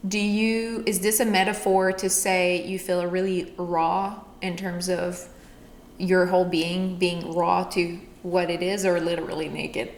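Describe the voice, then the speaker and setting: A woman's voice: lowish voice, flat delivery, unhurried. Female podcast host in an echoey room. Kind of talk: conversational interviewing. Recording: echoey room, faint background noise.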